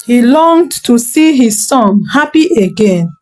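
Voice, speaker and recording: A woman tells a folktale slowly and with care. Her voice sits a little high and rises and falls widely as she builds each scene. Soft music plays faintly underneath. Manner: slow, expressive bedtime-story telling. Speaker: storyteller. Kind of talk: scripted folktale narration.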